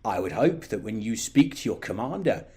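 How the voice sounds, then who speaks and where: A man voicing a courteous, condescending alien diplomat who speaks in measured, veiled threats for an audio drama. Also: lowish voice, sing-song, warm tone, breathy edge, pitched below the performer's own voice, heavily acted. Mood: polite, sinister. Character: polite, smugly menacing male alien diplomat, condescending.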